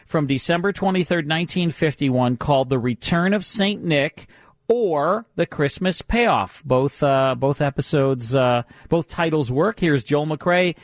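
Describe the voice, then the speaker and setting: Higher-pitched man co-hosting radio, dry studio. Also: unhurried, no room sound. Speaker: radio show co-host. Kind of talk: casual live radio hosting. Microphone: close studio microphone.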